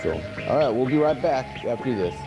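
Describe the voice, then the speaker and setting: A man's voice: medium pitch, expressive, normal speed, muffled recording, loud music behind the voice, close to an everyday voice. A man playing a goofy monster who hosts a horror movie show, rambling in slangy, exasperated comic commentary. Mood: riled, rambling. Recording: noisy 1980s VHS TV tape.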